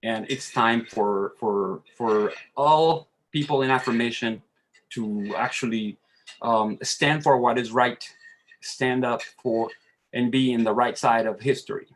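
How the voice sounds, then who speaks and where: Slow-speaking man. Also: mid-range voice, slight background noise, rough recording. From Dominican Republic. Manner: halting, searching for words. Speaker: panelist. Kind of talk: earnest roundtable statement.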